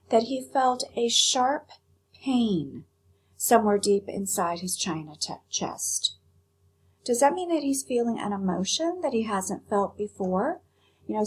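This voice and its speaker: A woman teacher giving a read-aloud lesson, explaining and narrating a children's story in an expressive, instructive way. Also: medium pitch, slightly rough.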